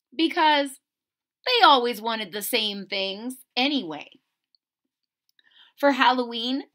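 A middle-aged woman reading a picture book aloud to children, expressive and deliberate, pausing to question her listeners. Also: a little high. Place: small indoor room at home.

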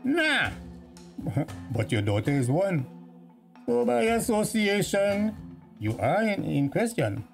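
Officious police interrogator, slow delivery with swooping pitch, music underneath, radio play. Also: big pitch swings, creaky, exaggerated voice. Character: self-important, officious police officer questioning a suspect. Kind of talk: radio drama dialogue.

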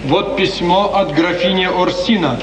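A noisy, far-off old radio recording presents an elderly valet. He announces formally and deferentially in a high-pitched voice. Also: a little slow, reverberant, big-room sound. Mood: dutiful. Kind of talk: 1950s radio play acting.

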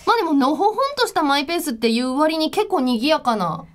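High-voiced, animated woman hosting radio. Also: fast talker. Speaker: radio show host. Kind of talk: segment presenting and casual chat.

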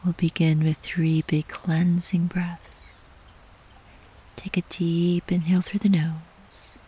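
A woman guiding a sleep meditation in a soft, breathy, whispery voice, gently and soothingly, with long unhurried pauses. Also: fairly low pitch, level tone, low-quality recording. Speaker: yoga nidra meditation guide.

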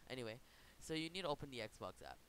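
A man hosting an informal software tutorial, talking in a casual, rambling way and correcting himself as he goes. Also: mid-range voice, lively intonation, fast talker, rough recording. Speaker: tutorial host. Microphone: computer microphone. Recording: noticeable background hiss.

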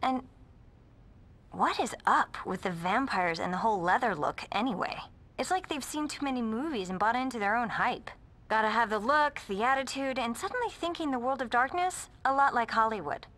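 A woman's voice: a little high, lively intonation, moderate pace, fairly bright tone. An actress playing a vain, sarcastic popular teenage girl in a video game, snippy and self-satisfied. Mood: smug, sarcastic.

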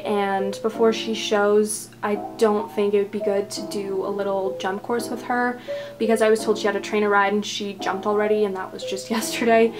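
A young woman in her teens narrating a casual horse vlog, chatty and full of fillers and little asides. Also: mid-range voice. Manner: chatty, many fillers and "you know". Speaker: toy horse vlog creator voicing a rider. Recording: voice-over with clear music underneath.

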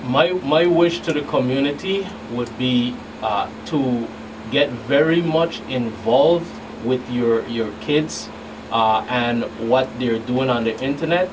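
Male law enforcement guest, mid pitch, explanatory and halting, with clear background noise. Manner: halting, repeats words. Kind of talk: explanatory radio interview answers. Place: small radio studio. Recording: noisy, distant mic with room hum.

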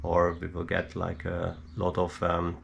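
A man giving an unscripted hands-on technical explanation in a hesitant, halting way, thinking aloud with many pauses. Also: deep voice, completely flat delivery, creaky, lo-fi sound, a little hiss or hum. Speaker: hobbyist presenter showing his equipment. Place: indoor room at a cluttered worktable.

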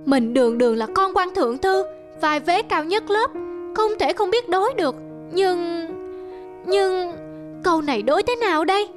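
A woman voicing a proud, eager young schoolboy in an animated film, with music behind. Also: extremely high pitch, normal speed. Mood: anxious.

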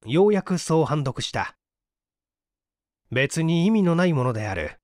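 Male audiobook narrator for mystery fiction, animated dramatic read, dry studio-like sound. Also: a little high, a little slow, dry recording.

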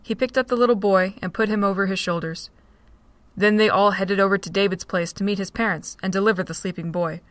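A woman narrating a fan audio drama with a steady storytelling read. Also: medium pitch, fairly quick, no room sound. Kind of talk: scripted narration.

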